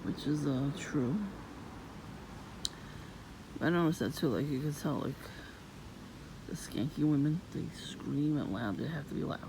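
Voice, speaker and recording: A woman with a low voice, vlogging in a soft-spoken, rambling and suspicious way outdoors near her home. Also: a little slow, long pauses. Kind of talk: casual rambling monologue. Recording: noisy outdoor ambience.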